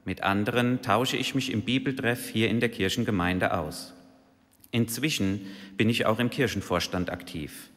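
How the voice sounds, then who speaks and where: A man's voice: on the low side, normal speed, fairly bright tone. Man speaking in a reverberant church. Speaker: church council member. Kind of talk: reading a prepared testimony in a church service.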